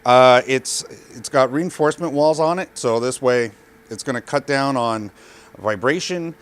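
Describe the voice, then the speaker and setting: A man's voice: medium pitch, unhurried, smooth. Guy doing a casual off the cuff gear review, plain spoken and matter of fact, body mic. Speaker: product reviewer. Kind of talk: casual product review, unscripted. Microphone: body pack mic.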